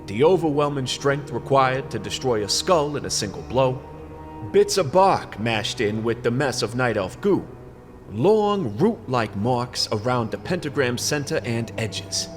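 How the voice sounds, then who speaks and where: An actor playing a world-weary fantasy detective in a noir radio play, brooding and dramatic. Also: male voice, mid-range voice, sing-song, measured pace, soft background music. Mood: brooding narration. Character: hard-boiled fantasy detective, world-weary, knowing, dealer with demons.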